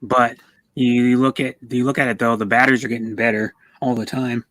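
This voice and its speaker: A man's voice: mid-range voice, flat delivery, fairly quick, fairly bright tone, no room sound, rough recording. A man chatting casually about cars on a livestream in a rambling, stammering way, often repeating words. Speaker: streamer. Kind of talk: casual car chat on a livestream.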